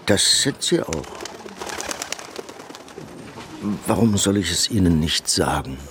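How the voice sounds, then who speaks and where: An actor playing a worldly painter and discreet go-between in a radio drama, insinuating yet matter-of-fact. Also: male voice, deep voice, animated, normal speed, a bit bright, a little hiss or hum. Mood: confiding. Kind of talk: literary radio drama acting.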